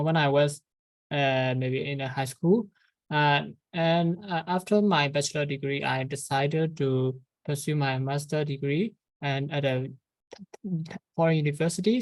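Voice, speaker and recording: A woman's voice: deep voice, no room sound, low-quality recording. A woman language instructor speaking on a webinar panel, hesitant and full of "uh" fillers and restarts.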